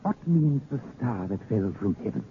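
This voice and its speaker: A man's voice: on the low side, sing-song, moderate pace. Scheming king in crackly old radio. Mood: impatient, probing. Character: scheming, amused, commanding king of France. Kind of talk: 1930s radio drama acting. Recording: noisy, tinny 1930s radio recording.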